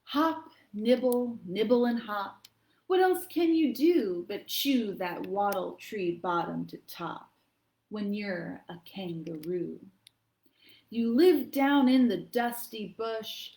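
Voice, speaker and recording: A woman hosting a children's storytime, reading poems aloud and chatting in an expressive, encouraging manner. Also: fairly high pitch. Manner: expressive, encouraging, addressing young listeners. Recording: echoey, roomy sound.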